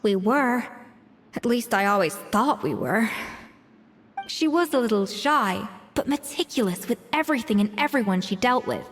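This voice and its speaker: A woman playing a proud, thoughtful young female chef in a video game, speaking in a measured, articulate way. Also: fairly high pitch, lively intonation, long reverb tail, slight background noise, close to an everyday voice. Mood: troubled. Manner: measured, articulate, reflective storytelling. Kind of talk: scripted video game dialogue. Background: background music.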